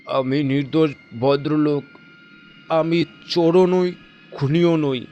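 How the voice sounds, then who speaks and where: Man acting an emphatic, protesting false gentleman. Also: a little high, fairly even intonation, takes it slowly, lo-fi sound, a little hiss or hum. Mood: indignant. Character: smooth-faced murderer posing as innocent gentleman. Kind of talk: dramatised audio story acting.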